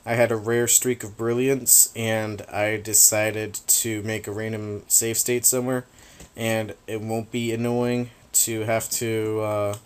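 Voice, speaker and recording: A man talks through a video game match in a loose, rambling way, tossing in casual swears. His voice sits a little low. It is turning raspy after a long stretch of talking. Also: flat delivery, takes it slowly. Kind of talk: casual gameplay commentary.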